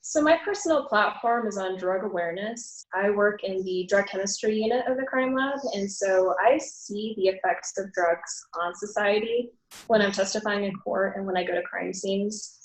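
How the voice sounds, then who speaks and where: A woman speaks at an ordinary pitch in a soft, hesitant way. She fills her answers with pauses as she talks through an interview. The sound is roomy and echoing. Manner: soft-spoken, hesitant with many fillers. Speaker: pageant contestant.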